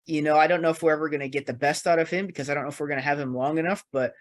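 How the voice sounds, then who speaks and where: A man on a podcast panel talking football casually, reasoning things out in a measured, halting way. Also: a little high, steady tone.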